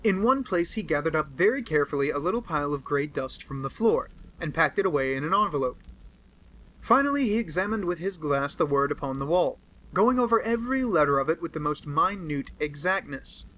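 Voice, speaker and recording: A man with an American accent narrating a classic detective novel in a steady, earnest way. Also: fairly high pitch, fairly quick, low-quality recording. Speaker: audiobook narrator. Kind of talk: amateur audiobook narration.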